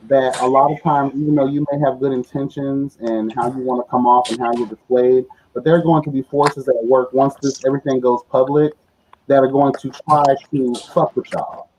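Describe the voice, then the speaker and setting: A man's voice: mid-range voice, normal speed, darkish tone. A man hosting a casual group interview, speaking in an encouraging, earnest, advice-giving way. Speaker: interview host. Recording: muffled, faintly noisy online call.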